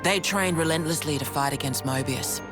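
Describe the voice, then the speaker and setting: A woman's voice: deep voice, moderate pace, natural voice. Casting: actress as frank, assured British female resistance leader for a game cutscene, over prominent music. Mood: composed, assured. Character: composed, frank woman leading a rebel force. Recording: loud game music underneath. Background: game score.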